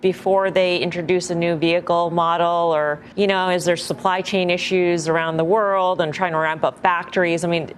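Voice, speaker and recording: Female broadcast interviewer, lower pitch, conversational questioning, light ambient noise. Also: little pitch movement, moderate pace. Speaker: news interviewer.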